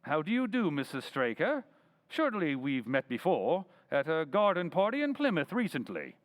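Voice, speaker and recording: A keen, courteous detective from an old-time radio play, acted by a man. His voice sits high and moves with lively, theatrical swings. He is self-assured and inquisitive, questioning with polish. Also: normal speed. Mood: suavely polite.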